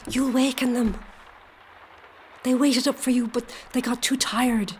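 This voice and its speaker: Worried wife, acted by a woman, fairly high voice, pleading, domestic audio drama scene with faint background noise. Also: fairly even intonation, a little slow, close to an everyday voice. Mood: sad, reproachful. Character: anxious, pleading wife of an Irish rebel.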